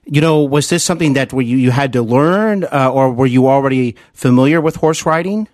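A man's voice: medium pitch, a little fast, darkish tone, no room sound. Dry, close-miked male interviewer. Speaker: podcast host, interviewer. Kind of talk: conversational interview questioning.

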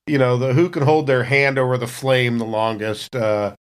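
A man's voice: mid-range voice, fairly even intonation, moderate pace, smooth. A man chatting casually as a player in a tabletop roleplaying game, making up the scene as he talks. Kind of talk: casual improvised game chat.

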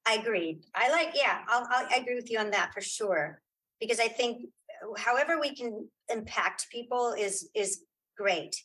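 A woman's voice: a little high, expressive, moderate pace, fairly bright tone, husky, poor audio. A middle-aged woman chatting casually as an interview guest, effusive and emphatic, often repeating her phrases. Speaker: interview guest, audio drama creator and filmmaker.

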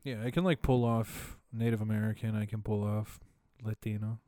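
A man's voice: lowish voice, fairly even intonation, normal speed, mellow, no echo, clean studio sound. A man chatting casually and telling jokey stories, often breaking into laughter. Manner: joking storyteller, laughs often. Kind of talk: casual chat.

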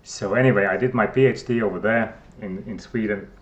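A man's voice: lowish voice, fairly even intonation, fairly quick, dull audio. Hesitant Finnish-accented man. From Finland. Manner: hesitant, many fillers and restarts. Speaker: guest scientist. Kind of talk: casual interview talk.

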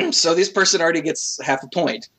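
A woman's voice: fairly low pitch, expressive, normal speed, fairly bright tone, rough recording. A woman in her thirties co-hosting a podcast, chatting casually and reading aloud in an amused, giggly manner. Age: 30s. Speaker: podcast co-host reading out reviews.

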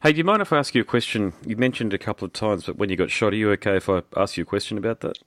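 A man's voice: fairly low pitch, animated, very fast, fairly bright tone, no echo. A man, hosting a podcast in a friendly, chatty way. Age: 40s. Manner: friendly, chatty, says mate and man a lot. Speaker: podcast host. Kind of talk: casual interview chat.

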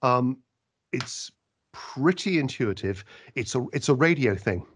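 Animated, emphatic man in conversation. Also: medium pitch, unhurried, slight background noise. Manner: animated, emphatic, with frequent restarts. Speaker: radio interviewer. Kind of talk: conversational interview answers.

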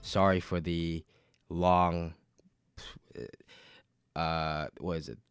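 A man with a very low voice who sounds nasal and stuffed up from a cold. He hosts a podcast in casual chat, rambling loosely, swearing freely and filling pauses with lots of "um" and "like.". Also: level tone, clear, resonant tone, no echo, clean studio sound, faint music behind. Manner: loose, rambling and profane, with many fillers. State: sick with a cold. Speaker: podcast host.

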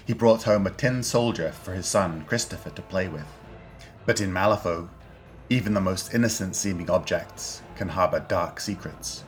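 A man's voice: deep voice, moderate pace. A man reading a scripted, dramatic introduction as the announcer of a fiction podcast. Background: music under the voice.

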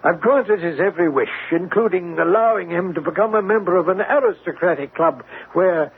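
An actor playing a distraught, remorseful elderly English banker in a radio drama, with an agitated, halting manner. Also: male voice, high voice, creaky, slightly rough, full character voice. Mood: rueful, agitated. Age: older. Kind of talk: classic radio drama acting. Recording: tinny, band-limited old radio, faint hiss.

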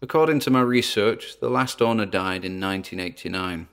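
A man's voice: lowish voice, a little fast, fairly bright tone, clear, resonant tone. A man with a British accent playing a wary, chatty paranormal investigator narrating his exploration in a podcast-style audio drama, with theatrical asides. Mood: chatty, wry.

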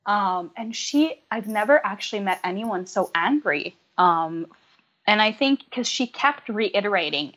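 A woman whose first language is Norwegian, a yoga teacher telling a story in a casual conversation, pausing often with ums. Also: medium pitch, animated, a bit bright, low-quality recording. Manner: reflective, many ums and hesitations.

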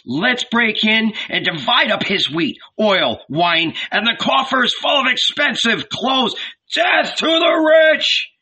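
Man voicing furious, high-shouting ragged rabble. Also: lively intonation, slow pace, breathy edge, full character voice. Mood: greedy, riotous. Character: angry mob of ragged, hungry poor men.

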